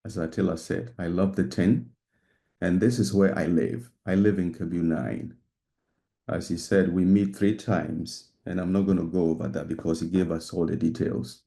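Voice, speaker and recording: A man sharing sincerely and at a measured pace at an online spiritual gathering. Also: low-pitched, fairly even intonation, vocal fry, warm tone. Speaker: community member sharing.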